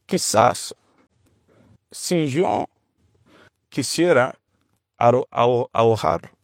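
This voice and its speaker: A man from Brazil with a Brazilian Portuguese accent, speaking Spanish as a second language as a guest, with restarts and pauses to search for words. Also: medium pitch, gravelly, no echo, clean studio sound. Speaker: guest, teacher of a ministry. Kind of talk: conversational testimony.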